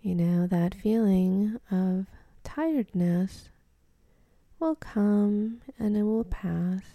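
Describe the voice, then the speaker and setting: A woman guides a meditation in a very soft, mellow voice, half-whispered and gentle. She speaks slowly, leaving space between short instructions. The sound is dry and close, with no room around it. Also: mid-range voice, no echo. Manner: gentle, unhurried instruction with pauses.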